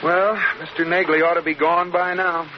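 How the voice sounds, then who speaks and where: An actor playing a playful, blustering middle-aged husband with easily wounded pride in an old radio comedy. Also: male voice, fairly high pitch, flat delivery, moderate pace, lightly acted. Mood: cautious. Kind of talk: 1940s-50s radio situation comedy acting. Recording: dull old radio recording, noisy, audience behind.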